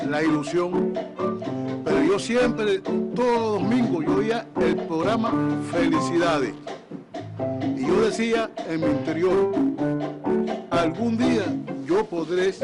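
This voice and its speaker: Cuban guy, musician, high voice, telling his story in an interview, music loud behind him. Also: low-quality recording. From Santiago de Cuba. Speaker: orchestra musician. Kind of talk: personal anecdote in an interview.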